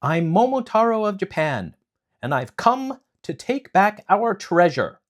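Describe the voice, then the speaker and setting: A man's voice: high voice, big pitch swings, unhurried, voice pushed up. A man voicing a brave young hero boy in a storybook, answering plainly and firmly. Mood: bold. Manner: plain, firm replies.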